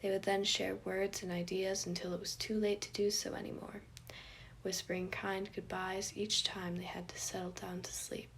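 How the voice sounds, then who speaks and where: A woman reading scripted fiction as a narrator, with a slightly husky, breathy voice. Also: medium pitch, flat delivery, normal speed, slight background noise. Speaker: podfic narrator.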